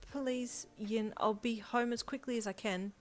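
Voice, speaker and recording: Woman doing a shy nervous young guy hero, stammery and sincere, voice lifted high, fan story. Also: steady tone, measured pace, close to an everyday voice.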